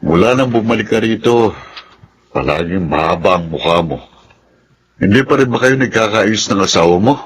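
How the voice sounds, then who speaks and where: Deep filipino officer man acting, seasoned and fatherly, radio drama, slight noise. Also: expressive, moderate pace, long pauses, natural voice. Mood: concerned. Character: seasoned, understanding army superior officer.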